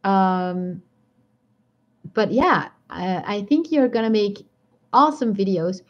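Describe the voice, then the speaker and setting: A woman's voice: mid-range voice, slow. A woman with a strong Belgian accent chatting casually on a live stream, rambling and laughing often as she answers viewer comments. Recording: dull lo-fi stream audio.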